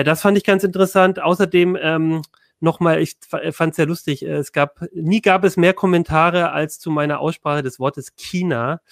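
A man's voice: on the high side, dry recording, studio quality. A man from southern Germany with a southern German accent, hosting a casual roundtable podcast and talking with many fillers and restarts. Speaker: podcast host.

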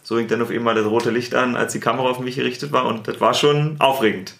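A man with a Berlin accent, a sports TV presenter and editor, answering interview questions in a relaxed, chatty way with many fillers. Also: mid-range voice, fast, vocal fry, reverberant. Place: studio.

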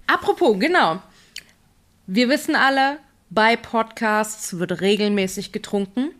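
A woman's voice: fairly high pitch, measured pace, fairly bright tone. A woman from Frankfurt with a Hessian accent, co-hosting a casual pop culture podcast and steering the talk. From Frankfurt, Germany. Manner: upbeat, wraps up and leads segments. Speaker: podcast co-host. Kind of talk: casual chat. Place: home in Munich.

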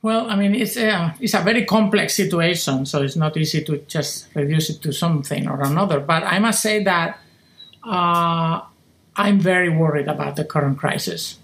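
A woman's voice: lowish voice, normal speed. A middle-aged woman with a Spanish accent, answering as an academic interview guest in a thoughtful, earnest, conversational way. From Spain. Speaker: guest, professor of philosophy.

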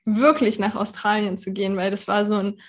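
A woman's voice: medium pitch, steady tone, a little fast. A woman in her early thirties from Berlin talking casually as an interview guest, informal and thinking aloud. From Berlin, Germany. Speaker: podcast guest, holistic health consultant.

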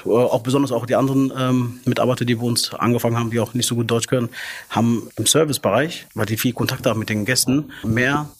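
Young male restaurant manager, casual interview guest, middle pitch, chatty with fillers. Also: steady tone, fast.

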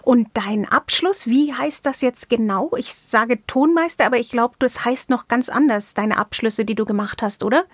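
A woman with a somewhat high voice hosts an informal interview. She feels her way through questions and summaries in loose phrasing. The sound is dry, with no room echo. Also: normal speed, few pauses. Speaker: interviewer, podcast host. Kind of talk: casual interview chat.

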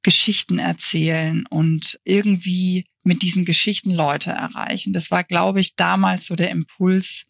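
A woman's voice: on the low side, level tone, measured pace, creaky, few pauses, no room sound, studio quality. A woman from Bavaria, a journalist guest, giving thoughtful, frank interview answers, often qualifying with "I think". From Bavaria, Germany. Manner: thoughtful, frank, hedges with "ich glaube".

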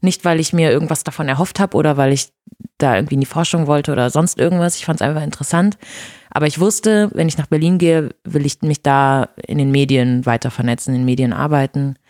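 A woman's voice: low-pitched, fast talker, dry recording, studio quality. A woman from Brazil, a native Portuguese speaker in her thirties, chatting frankly in an interview as the guest. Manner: relaxed, frank, with fillers. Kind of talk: casual interview chat.

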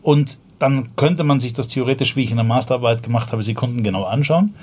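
A man's voice: medium pitch, quick pace, a little mellow, breathy edge. A man in his forties with a Viennese accent, a podcast guest talking casually in a loose, slangy way, full of fillers and restarts. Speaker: podcast guest, startup founder. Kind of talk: casual conversational storytelling.

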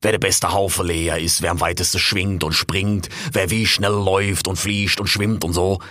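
A man's voice: sits low, fairly even intonation, normal speed, sharp tone, no echo, clean studio sound. A man voicing a jovial, blustery old male monkey king who rambles in dialect and keeps saying "hör mal".

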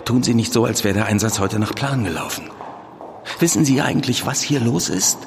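A man acts out a stern police superior in a naturalistic audio drama. He is brusque, irritated and quick to accuse, with a mid-pitched male voice. The room rings with reverb and there is clear noise behind him. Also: natural voice. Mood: angry, accusing. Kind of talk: naturalistic fiction podcast acting in German.

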